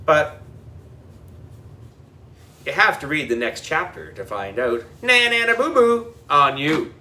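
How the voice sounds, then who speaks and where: A man's voice: on the high side, sing-song, slow pace, sharp tone, distant mic, a little hiss or hum. A man narrating a children's audiobook in a playful, exaggerated storytelling manner. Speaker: audiobook reader.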